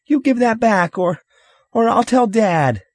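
A man acts out a young boy in an audiobook tale. The voice jumps high and shrill, whining with hurt and anger. The sound is close and dry. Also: expressive, a little slow, darkish tone, no room sound. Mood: shrill, angry. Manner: shrill, pleading, accusing. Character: upset, shrill young boy, whiny and angry.

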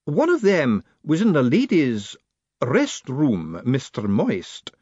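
A man voicing a formidable, prim older woman clerk who makes stern demands in a high, strained voice. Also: huge pitch swings, slow pace, a little mellow, husky, no room sound, dull audio, heavily acted.

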